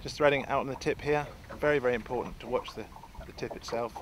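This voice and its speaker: A man's voice: medium pitch, fast talker. A man in his sixties, a fishing guide, explaining his tackle setup in a chatty way from a small boat on a lake. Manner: chatty, explanatory, many restarts. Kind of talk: outdoor how-to demonstration. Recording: outdoor wind and water noise.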